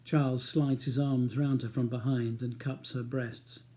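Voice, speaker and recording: A man's voice: medium pitch, little pitch movement, unhurried, dark tone. A man with a British accent reading his own novel as a scripted audiobook narration.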